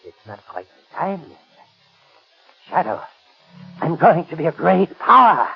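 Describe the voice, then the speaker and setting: Deranged villain animal trainer, high and wildly swooping, theatrical, old dull radio sound. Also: male voice, big pitch swings, measured pace, vocal fry, faint music behind, full character voice. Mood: gloating, manic. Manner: taunting, theatrical, cackling. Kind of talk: 1930s radio drama acting. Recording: dull lo-fi 1930s radio recording.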